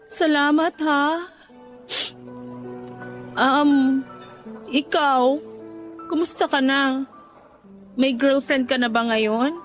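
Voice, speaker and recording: A woman's voice: sits high, steady tone, very slow, long pauses, soft background music. A woman playing a remorseful young Filipina daughter who turns playful with her suitor in a radio drama. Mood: teasing. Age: young adult.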